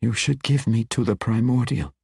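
A man voicing a despondent male dark elf wizard who speaks slowly and mournfully. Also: mid-range voice, creaky, breathy edge, no echo, clean studio sound, voice pushed up. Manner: slow, mournful, hushed.

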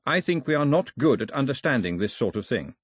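A man voicing a serious, proud young island chief with a measured, blunt way of speaking. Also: mid-range voice, very animated, breathy edge, no echo, voice pushed up. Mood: grave.

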